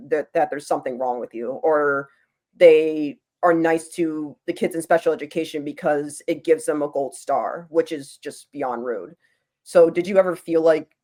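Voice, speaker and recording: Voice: mid-range voice, a little mellow. A podcast host asking a guest long, multi-part questions and adding blunt opinions of their own. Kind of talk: interview questions.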